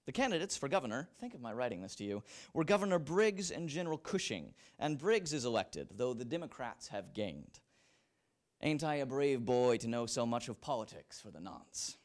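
A man's voice: mid-range voice, sing-song, moderate pace. A young man giving a dramatic reading of old letters, with a wry, literary delivery, on a handheld microphone in an event room. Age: young adult. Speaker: reader at a library event. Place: indoor event room with small audience.

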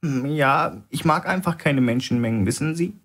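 A man voicing a nervous, timid man with a shaky, stuttering, shrill way of talking. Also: animated, moderate pace, fairly bright tone. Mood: flustered, nervous.

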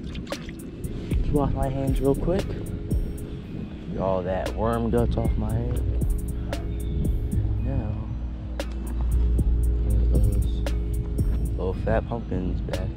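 A man's voice: fairly low pitch, drawn-out words, long pauses. A young man casually talking viewers through a day of pond fishing, filmed on a head-mounted action camera outdoors. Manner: laid-back, talks to the fish and viewers. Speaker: fishing vlogger. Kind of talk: casual first-person fishing commentary. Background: background music.

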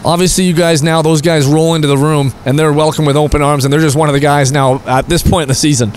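A man's voice: a little high, steady tone, fast talker, a little hiss or hum. A young man hosting a postgame interview beside an indoor hockey rink, holding a handheld mic. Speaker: team broadcaster interviewer. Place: indoor hockey arena rinkside.

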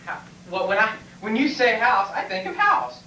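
Man playing flustered male patient, stammering protests, comic stage acting, wildly swinging pitch, noisy camcorder sound. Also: sing-song, normal speed, bright, forceful tone, heavily acted. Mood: nervous, rambling. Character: nervous, evasive male patient at a medical exam, blustering. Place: classroom stage before small audience, far camera. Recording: distant, echoey, noisy camcorder in classroom.

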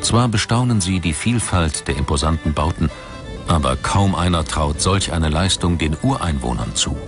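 Deep-voiced male documentary narrator, expressive intonation. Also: normal speed. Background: background music.

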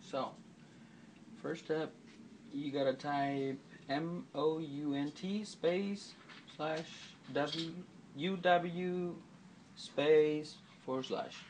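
Slow-talking man giving a casual tutorial. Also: a little high, fairly even intonation, darkish tone, a little hiss or hum. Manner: slow, spells out commands letter by letter. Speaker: tutorial maker. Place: indoors at a desk with a laptop. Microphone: handheld camera filming the screen.

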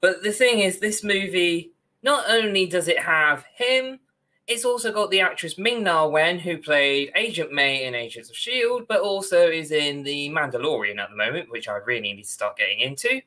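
Young man, British accent, bright voice, casual podcast chat, wordy, opinionated. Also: fairly high pitch, lively intonation, normal speed. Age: young adult. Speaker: podcast co-host. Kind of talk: casual ranting discussion. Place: indoor room at home, on video call.

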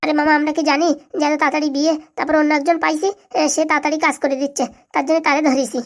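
Woman playing a high, rapid-fire defensive village mother. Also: little pitch movement. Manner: brusque, self-justifying. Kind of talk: comic cartoon dialogue.

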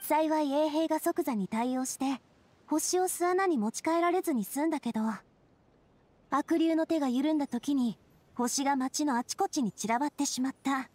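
A woman's voice: sits high, normal speed, darkish tone, natural voice. A woman playing a confident, cheerful young leader in an anime game, breezy and self-assured. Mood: matter-of-fact. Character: Navia, confident cheerful young woman, boss made fairytale king. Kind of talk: Japanese anime game voice acting.

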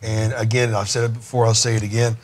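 A man's voice: lowish voice, level tone, fast talker. A middle-aged man hosting a studio talk show, speaking fervently in a preacher-like cadence with stacked phrases. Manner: fervent, preacher-like listing and exhortation. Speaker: host. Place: TV studio set.

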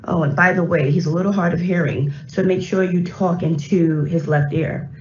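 A woman's voice: on the low side, steady tone, moderate pace. A woman giving a short spoken patient handoff as a nurse. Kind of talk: spoken handoff note, played back in a demo. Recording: muffled, roomy, noisy playback.

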